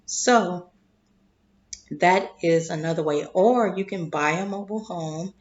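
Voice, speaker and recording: A woman explaining investing in a slow, deliberate way, clearing her throat and losing her voice, often checking in with "okay?". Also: fairly low pitch, lo-fi sound. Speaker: investing vlogger. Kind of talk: casual explanatory monologue.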